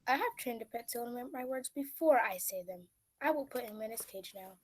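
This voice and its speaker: A woman's voice: on the high side, animated, brisk, darkish tone. A woman playing a rival meteorologist who speaks in a mocking, copycat way in a radio play.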